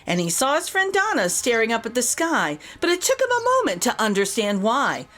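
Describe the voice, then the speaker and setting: A woman reading a rhyming children's story aloud as an expressive storyteller. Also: on the high side, fairly quick. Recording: voice over background music. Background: music.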